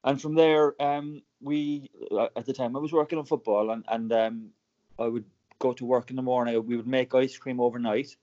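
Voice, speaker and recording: A man from Cork with an Irish accent, telling his founder story as a podcast guest in a relaxed, chatty way. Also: mid-range voice, normal speed, lo-fi sound. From Ireland. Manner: chatty, laughs often, many restarts. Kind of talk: casual podcast interview storytelling.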